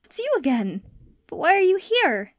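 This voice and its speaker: A woman's voice: extremely high pitch, sing-song, normal speed, background noise. A woman voicing an earnest, anxious young unicorn student in a cartoon audio drama. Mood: puzzled. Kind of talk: animated fan audio drama.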